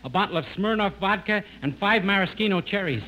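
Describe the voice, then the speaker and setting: A man's voice: high voice, animated, a little fast, dull audio. An actor with an American accent playing a silly, earnest young man in a noisy old radio comedy. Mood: innocent. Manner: innocent, earnest. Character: silly, naive, earnest young male sidekick. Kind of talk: 1950s radio comedy acting.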